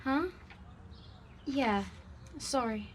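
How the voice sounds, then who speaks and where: A woman's voice: on the high side, extremely slow, a little hiss or hum. A young woman acting in an audio drama as an exasperated, reluctant female video blogger with a sarcastic manner. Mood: distracted.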